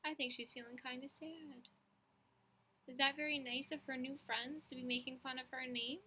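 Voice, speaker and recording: A woman reading a picture book aloud to children in an expressive, teacherly way, pausing to put questions to her young listeners. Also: high voice, slightly rough. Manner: addresses young listeners as friends, instructive.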